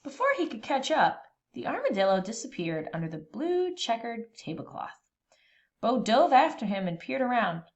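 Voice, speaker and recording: A woman reading a children's storybook aloud expressively, with dramatic, deliberate pauses between phrases. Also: on the high side, big pitch swings, highs cut off.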